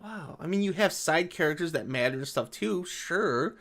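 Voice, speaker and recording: A man with a voice pitched a little high. He delivers off-the-cuff commentary in a sarcastic, exasperated way, with plenty of swearing. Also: lively intonation, normal speed, a bit bright, soft background music. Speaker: video commentator. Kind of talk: casual reaction commentary on a read-aloud article.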